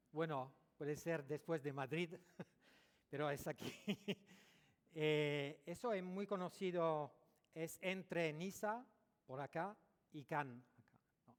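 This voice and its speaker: A man speaking Spanish as a non-native speaker, giving a dental conference lecture, pausing often and correcting his own words. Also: fairly high pitch. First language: French or Italian, not Spanish. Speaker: dentist giving a conference talk. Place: large lecture hall. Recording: echoey hall recording.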